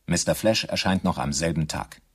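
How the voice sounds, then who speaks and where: An actor as the measured narrator of a German crime radio play, reading scripted narration in a low, husky voice. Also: male voice, exaggerated voice.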